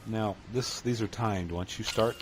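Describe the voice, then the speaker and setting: A young man with a deep voice giving easygoing commentary while playing a video game, sounding flat even when enthusiastic. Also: measured pace. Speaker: let's play gamer. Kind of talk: casual gameplay commentary. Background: loud game music.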